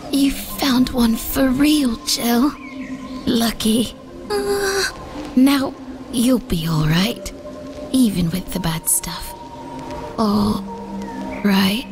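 A woman acting a heartbroken, dreamy young girl in a motion comic, speaking haltingly and wistfully. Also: on the high side. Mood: tender wonder. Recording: music behind the voice.

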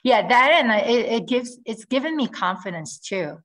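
A woman's voice: fairly high pitch, lively intonation, moderate pace, fairly bright tone. A middle-aged woman, a native Korean speaker, speaking earnestly on a video call from home, with frequent ums and restarts. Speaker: guest air force officer. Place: home room with ceiling fan. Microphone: laptop webcam on video call.

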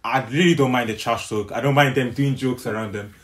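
A man's voice: medium pitch, brisk, slight background noise. A man, reacting in casual slang with emphatic repetition. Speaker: reaction video host. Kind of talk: casual group reaction chat. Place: small living room, on a sofa.

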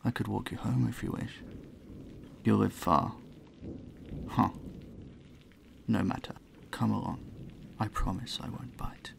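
A man playing a courteous, charming vampire in an intimate audio roleplay, soft-spoken, breathy and soothing, often close to a whisper. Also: lowish voice, fairly even intonation, unhurried, long pauses. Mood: gentle concern. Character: gentle, courteous male vampire, charming and doting. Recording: faint ambient noise behind the voice.

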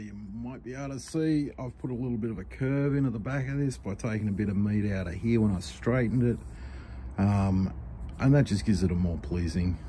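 A middle-aged Australian man, a knife maker, explaining his work step by step in a relaxed, practical way while he works at the bench. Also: lowish voice, noisy background. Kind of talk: workshop tutorial voice-over. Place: home workshop.

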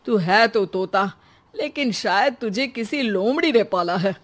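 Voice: medium pitch, lively intonation, unhurried, a bit bright. A performer voicing a principled, exasperated elderly father in a cartoon, speaking in a chiding, moralizing manner. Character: principled, exasperated elderly father, grey-haired family patriarch. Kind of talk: animated cartoon dialogue.

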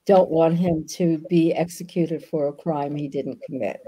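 Older woman author, yiddish first language, low-ish mellow voice, video call, lots of ums. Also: fairly even intonation, measured pace, breathy edge, rough recording. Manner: thoughtful, frequent ums. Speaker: guest author. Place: home room with bookshelves.

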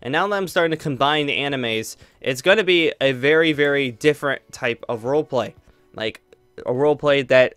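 A man's voice: mid-range voice, a bit bright, clear, resonant tone, no room sound. A young man casually rambling through a channel update for his viewers, upbeat and full of fillers and restarts. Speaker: YouTuber. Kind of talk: casual channel update while flying over a game world.

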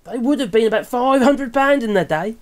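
A man's voice: very high voice, lively intonation, brisk. A man in his fifties with an English accent, giving casual, opinionated commentary in a rambling, chatty way, often correcting himself. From England. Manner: rambling, chatty, with tag questions and self-corrections. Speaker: vlogger commenting on news. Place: small wooden cabin room with open window.

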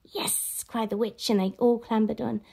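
A woman's voice: fairly high pitch, little pitch movement, brisk, gravelly, mellow, slight background noise. A woman in her thirties reading a children's story aloud with expression. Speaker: storybook reader.

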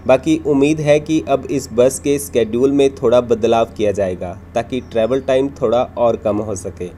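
Male travel vlogger, mid-pitched and soft-toned, informative scripted narration over noticeable ambient noise. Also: normal speed.

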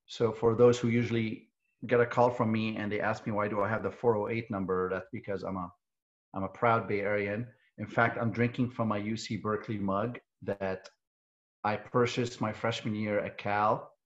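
Syrian-born guy on a muffled video call, lowish voice, chatty and jokey, lots of restarts. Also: flat delivery, normal speed. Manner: chatty, joking, frequent restarts and fillers. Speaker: guest, CEO of a civic organization. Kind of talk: casual webinar conversation. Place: living room with bookshelves. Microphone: earbud headset on a video call. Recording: dull, lo-fi video call audio.

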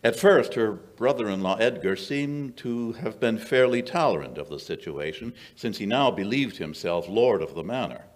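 Male actor as gentleman sleuth for radio play: low register, lively swoops, shrewd, dry, measured storyteller. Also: lightly acted. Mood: wry storytelling. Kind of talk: old-time radio drama acting. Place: small indoor stage before an audience. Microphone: vintage-style stand microphone.

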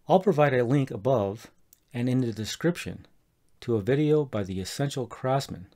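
Middle-aged man, mid pitch, measured scripted narration for a home-improvement tutorial. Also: warm tone. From Colorado, USA. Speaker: homeowner explaining a DIY project.

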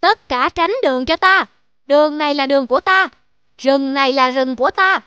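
Lady doing a bossy bully elephant for a kids cartoon, super high voice, music behind. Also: normal speed, dull audio, natural voice. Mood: scornful, bullying. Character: arrogant bullying huge elephant, loud and scornful. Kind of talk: children's cartoon dubbing.

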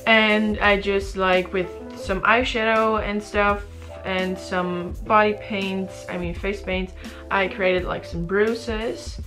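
Sleepy, bright-voiced young woman. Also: medium pitch, level tone, takes it slowly, soft background music. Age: young adult. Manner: chatty, rambling, many fillers and restarts. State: sleepy and a little raspy. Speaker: beauty vlogger. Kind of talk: casual chat to camera. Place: small bedroom indoors. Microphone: camera in front at close range.

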